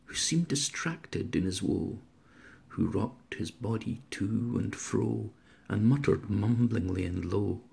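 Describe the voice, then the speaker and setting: A man's voice: fairly low pitch, slow pace, creaky, warm tone, husky. A man, an author speaking informally and reciting verse aloud. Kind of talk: informal introduction and dramatic poetry recital.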